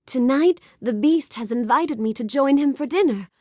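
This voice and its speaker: A high-voiced, sweet young storybook heroine for a video game. Her lines swing melodically with bookish curiosity and polite warmth, gentle and full of wonder, in a close, dry studio sound. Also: moderate pace, no echo. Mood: warm, encouraging. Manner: gentle, wonder-filled, courteous, expressive delivery. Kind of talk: animated game character dialogue.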